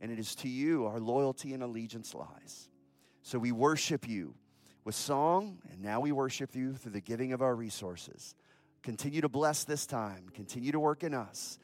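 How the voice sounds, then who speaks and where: A man's voice: medium pitch, lively intonation, moderate pace, no room sound. A pastor leading his congregation in an earnest, solemn prayer and announcement during a church service.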